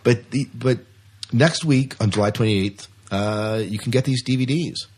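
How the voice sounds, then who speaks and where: A man's voice: mid-range voice, moderate pace, slightly rough. A man co-hosting a podcast, talking through entertainment news in a casual, talkative way with repeated phrases for emphasis. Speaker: podcast co-host.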